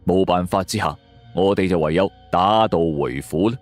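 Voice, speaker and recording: A man's voice: deep voice, normal speed. A man with a Hong Kong Cantonese accent narrating a detective story dramatically in the first person. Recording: background music under voice. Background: music.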